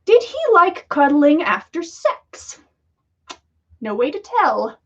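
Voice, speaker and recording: A woman's voice: sits high, very animated, takes it slowly, fairly bright tone, lo-fi sound, voice pushed up, full character voice. A woman voicing an anxious, wry old male wizard in a story read aloud. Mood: wry musing.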